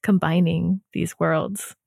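A woman's voice: fairly low pitch, flat delivery, slow pace, gravelly, darkish tone, dry recording. Chatty woman podcast host, dry sound. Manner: chatty, relatable, laughs often. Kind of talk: casual interview conversation.

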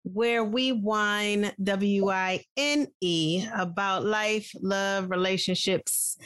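A woman's voice: mid-range voice, steady tone, slow, a bit bright, clear, resonant tone, no room sound. A woman co-hosting a podcast, bantering playfully and poking fun at her own slips. Her voice sits mid-height and sounds close and dry, with no room around it. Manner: playful, self-mocking banter. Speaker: podcast co-host. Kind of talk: casual chat.

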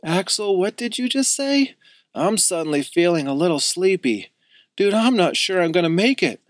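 A man doing a squeaky, nervous little boy. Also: very animated, normal speed, no room sound, studio quality. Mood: drowsy. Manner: hesitant, whimpering. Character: nervous, timid young boy.

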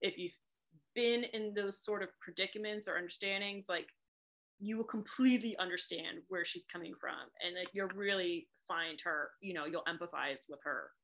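Bright-voiced chatty woman from New England. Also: lowish voice, moderate pace, poor audio. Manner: chatty, laughs often, lots of fillers. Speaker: author guest. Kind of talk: casual chat.

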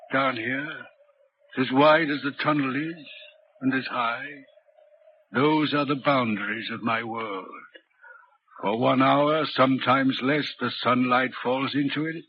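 A man's voice: medium pitch, close to an everyday voice. Man acting a haunted railway signalman on old radio. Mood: brooding, solemn. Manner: grave, deliberate, halting. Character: lonely, haunted railway signalman, brooding and courteous. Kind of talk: 1940s radio drama acting. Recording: dull, noisy 1940s radio recording.

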